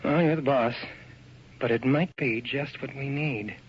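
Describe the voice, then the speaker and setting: An actor playing an enthusiastic, slangy photographer's assistant in a radio drama, hip and wisecracking. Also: male voice, medium pitch, measured pace, slightly rough. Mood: excited, eerie. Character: breezy, enthusiastic young male photographer's assistant. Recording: noisy, dull old radio recording.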